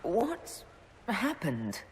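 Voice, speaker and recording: A woman's voice: medium pitch, wildly swooping pitch, extremely slow, a little hiss or hum, heavily acted. An actress playing a direct, dryly wry female fantasy hero and leader in a video game, plainspoken and brief. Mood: curious, polite.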